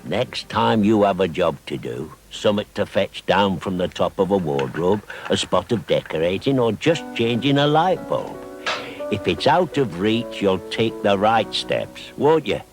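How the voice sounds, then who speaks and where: A man's voice: lowish voice, big pitch swings, measured pace, soft background music. A man narrating a scripted public information film in an instructive, coaxing manner. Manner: instructive, coaxing with tag questions. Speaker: voice-over narrator.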